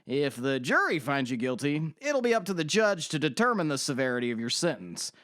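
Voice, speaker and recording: A man's voice: on the high side, sing-song, fairly quick, fairly bright tone, dry recording, clean studio sound. A man playing a formal, polite, slightly hesitant middle-aged defense lawyer in an audio drama. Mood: formal, earnest.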